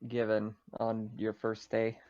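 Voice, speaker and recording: A man's voice: fairly low pitch, completely flat delivery, slow pace, a little mellow, no echo, lo-fi sound. A man casually narrating a tabletop roleplaying game as game master.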